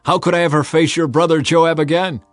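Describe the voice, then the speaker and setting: Man acting an urgent, shouting war commander. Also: a little high, flat delivery, a little fast, dry recording, soft background music, pitched above the performer's own voice, lightly acted. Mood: urgent warning. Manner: emphatic, projected shouting across a distance. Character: battle-hardened male army commander, urgent and pleading. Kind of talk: dramatized scripture reading.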